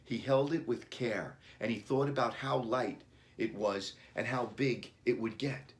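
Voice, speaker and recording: Slow, deliberate man reading a storybook. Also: medium pitch. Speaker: author reading his children's book. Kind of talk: children's storybook narration.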